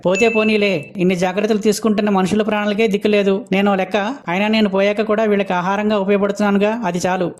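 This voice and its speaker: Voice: mid-range voice, little pitch movement, very fast, hardly any pauses, natural voice. An actor voicing a cocky, chatty young rooster who hosts festival interviews in a comic Telugu cartoon, boastful and slangy.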